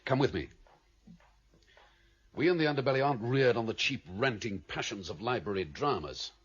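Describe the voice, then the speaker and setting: A grave, commanding leader of a criminal underworld in a radio play. He speaks formally and with authority, his pitch moving widely for dramatic weight. The recording is slightly dull and boxed in. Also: lively intonation, moderate pace, breathy edge. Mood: stern, sombre.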